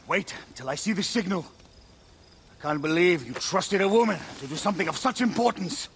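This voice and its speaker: An actor playing a haughty Roman general commanding in battle in a cinematic video game, imperious and grandiose. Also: male voice, high-pitched, animated, a little fast, husky, heavily acted. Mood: indignant.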